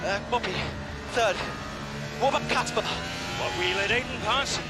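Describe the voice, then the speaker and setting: A determined racing driver gives clipped, high-pitched replies from the car. Loud music and engine noise run behind the voice in this video game scene. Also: male voice, unhurried, gravelly. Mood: tense, stubborn. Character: determined, terse male racing driver mid-race.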